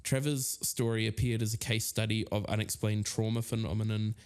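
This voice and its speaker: Actor as amiable guy co-hosting a spooky mystery podcast, lowish voice, chatty documentary storytelling. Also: little pitch movement, a little fast, darkish tone, clear, resonant tone, lightly acted. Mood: matter-of-fact. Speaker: podcast co-host. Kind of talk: conversational documentary podcast narration.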